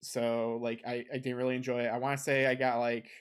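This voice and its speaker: A man co-hosts a laid-back gaming podcast in an ordinary mid-range voice. He rambles and fills his pauses with ums. He is recorded close on a desk condenser mic at a home setup. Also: mid-range voice, little pitch movement, clear, resonant tone. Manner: rambling, heavy on filler ums. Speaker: podcast co-host. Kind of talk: casual podcast chat.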